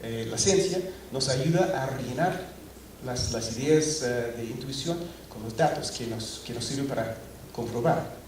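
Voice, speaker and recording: A middle-aged man addresses a crowded auditorium, his mid-pitched voice carrying from a distance across a very echoey hall. He stops and restarts often, leaning on fillers as he works through a technical talk. A faint hum of noise sits under him. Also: measured pace, a little mellow. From Scotland. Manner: hesitant, many fillers and restarts. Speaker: conference speaker. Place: large auditorium. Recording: echoey hall recorded from afar.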